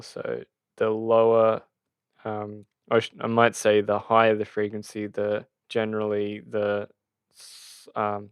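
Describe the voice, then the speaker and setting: Man, low flat voice, informal tutorial, hesitant, thinking aloud, close dry recording. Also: gravelly, clear, resonant tone, no room sound. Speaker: tutorial host. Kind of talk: informal screen-recorded software tutorial, explaining as they go.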